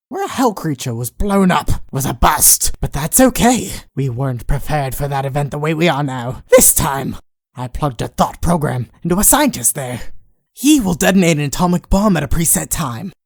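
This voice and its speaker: A man's voice: fairly high pitch, no echo, clean studio sound. A man voicing a gleeful, self-satisfied otherworldly schemer in a comic dub, smug and chatty.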